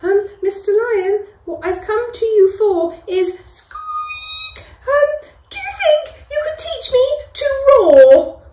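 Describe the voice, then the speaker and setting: A woman's voice: extremely high pitch, wildly swooping pitch, takes it slowly, reverberant, pitched above the performer's own voice, exaggerated voice. A woman voicing a timid little male mouse who stammers politely with lots of ums.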